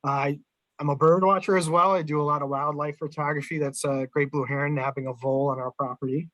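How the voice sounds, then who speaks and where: A man from New England with a Boston accent, giving a relaxed, conversational talk as guest speaker on a video call. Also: fairly high pitch, a little fast, no echo, poor audio. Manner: relaxed, conversational, self-restarting. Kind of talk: presentation over video call.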